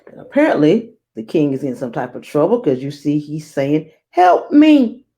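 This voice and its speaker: Slow, mellow woman reading aloud. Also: low voice. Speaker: read-aloud host and teacher. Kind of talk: children's story read-aloud with teaching tips.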